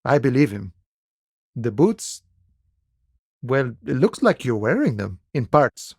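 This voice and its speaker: A laid-back union dockworker from a video game, with a mid-pitched voice in a very dry recording. He talks in an unhurried, familiar way, wry and philosophical, friendly without ever pushing. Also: big pitch swings, vocal fry, a little mellow, breathy edge, no echo, full character voice. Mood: casual, explaining. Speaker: video game character voice actor. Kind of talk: video game dialogue voice acting.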